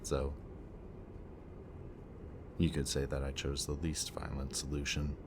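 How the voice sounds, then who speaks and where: A man playing a bitter, remorseful villain in an audio roleplay, confessing in a low, intimate voice that sometimes drops to a whisper. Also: unhurried. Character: remorseful bitter male villain, young adult. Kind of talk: audio roleplay addressed to the listener. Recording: steady ambient background noise.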